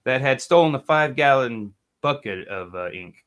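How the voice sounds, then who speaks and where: A man's voice: medium pitch, expressive, normal speed, fairly bright tone. A man hosting a casual music talk show, telling stories in a laid-back way and acting out phone calls. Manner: laid-back storyteller, acts out phone calls in put-on voices. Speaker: podcast host. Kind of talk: casual chat, storytelling.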